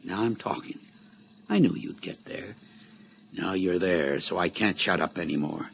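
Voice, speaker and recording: An actor playing a sly, menacing middle-aged blackmailer in a radio drama, taunting with mock-friendly, folksy familiarity. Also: male voice, sits low, measured pace, vocal fry, a bit bright, heavily acted. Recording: radio drama recording with background noise.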